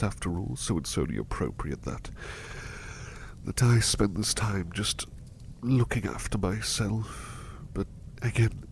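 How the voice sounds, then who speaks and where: A man voicing a sickly young male butler, speaking in a soft, whispery, courteous way close to the mic while resting in bed unwell. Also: fairly low pitch, slight background noise. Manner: courteous and formal, hesitant restarts. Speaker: voice actor. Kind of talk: ASMR roleplay.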